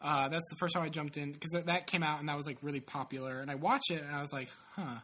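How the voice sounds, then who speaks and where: A man's voice: a little high, fairly even intonation, fast talker, pushed and bright, breathy edge. A man in his late twenties with a stuffy, sniffly nose, chatting casually in a rambling, profane, self-mocking way. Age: late 20s. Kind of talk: casual chat.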